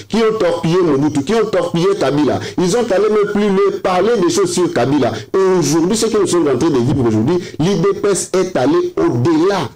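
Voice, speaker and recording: A man's voice: on the high side, a little mellow, low-quality recording. A man with a Congolese accent arguing as a panelist in a televised political debate, emphatic and repeating himself for force. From Democratic Republic of the Congo. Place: TV studio round table. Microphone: desk microphone.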